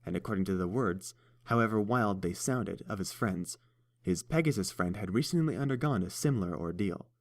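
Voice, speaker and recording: A man narrating a story in a steady, even storytelling manner. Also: fairly low pitch, fairly quick. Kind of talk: fan audiobook narration.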